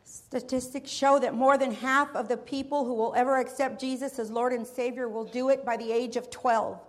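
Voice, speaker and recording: A woman with a high voice delivers a church sermon in an earnest, measured way. She leads a prayer that keeps calling on God again and again, then moves into her teaching. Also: fairly even intonation, soft background music. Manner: earnest, measured preaching with repeated invocations. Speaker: preacher. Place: church sanctuary.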